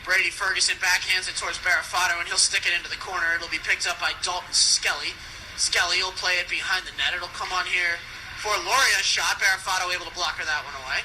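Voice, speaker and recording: A man calling live ice hockey play-by-play on the radio with urgent, excitable delivery. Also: high voice, fast. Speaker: play-by-play announcer. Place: ice hockey rink broadcast position. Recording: noisy arena radio broadcast.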